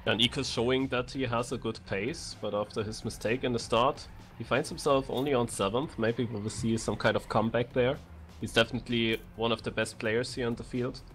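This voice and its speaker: A man's voice: medium pitch, steady tone, a little fast, fairly bright tone, soft background music. A man giving live co-commentary on a racing video game. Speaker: esports co-commentator.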